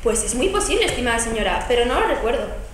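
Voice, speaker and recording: A woman's voice: a little high, very animated, fast talker, slight background noise. A teenage girl from Spain, playing a courteous lady who marvels politely at each coincidence. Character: courteous, wondering English lady. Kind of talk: amateur school stage acting. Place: large school hall stage. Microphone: far camera. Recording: echoey hall from far off.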